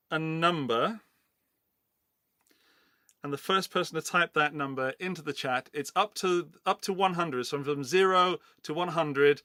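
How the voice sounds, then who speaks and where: A middle-aged Englishman hosts a live-stream giveaway, chatting loosely and rambling through asides to his viewers. His voice sits a little high for a man and sounds bright and tense. The sound is close and dry, with no room around it. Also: no room sound. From England, Forest of Dean in Gloucestershire. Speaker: livestream host running a giveaway. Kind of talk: casual live-stream chat.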